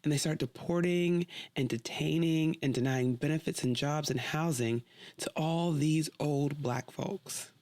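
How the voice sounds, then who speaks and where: A woman's voice: low voice, measured pace, darkish tone. A woman hosting a podcast, narrating her story in a wry, conversational way with playful asides.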